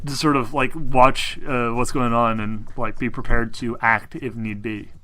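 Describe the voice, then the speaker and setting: A man's voice: medium pitch, steady tone, unhurried, pushed and bright, faint music behind. A man acting as game master, casually narrating a tabletop game in informal language with some swearing.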